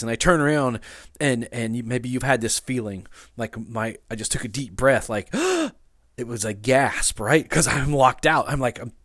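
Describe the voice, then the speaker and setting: A man preaching a sermon in a relaxed, chatty way, often restarting his sentences. His voice sits at a middle pitch and is recorded close and dry, with no room echo. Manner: casual, halting, many restarts and fillers. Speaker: pastor. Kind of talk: conversational sermon with personal story.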